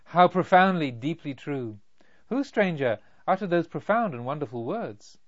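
A man's voice: a little high, very animated, normal speed, dry recording, lo-fi sound. A man voicing an agitated, fervent young male pilgrim, earnest, wordy and exclamatory, in a storybook reading. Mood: agitated, awed.

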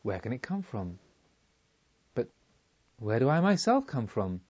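A man's voice: medium pitch, sing-song, unhurried, darkish tone, slightly rough, lo-fi sound, voice pushed down. A man reading the part of an astonished, searching male pilgrim in an audiobook, in a wondering, reflective manner. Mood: puzzled wonder.

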